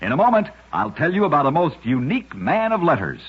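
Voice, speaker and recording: A dull, lo-fi radio recording carries a very husky man narrating curious facts. He sits fairly high for a male voice and delivers his script in a measured, emphatic way, as a storyteller hosting a show. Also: huge pitch swings.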